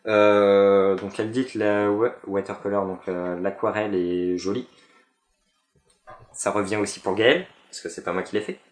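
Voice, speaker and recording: A young man chats casually to camera in a lowish voice. He stumbles through fillers and restarts. The room around him rings with echo. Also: fairly even intonation, moderate pace. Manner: hesitant, many fillers and restarts. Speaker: vlogger. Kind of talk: casual chat about a fan convention.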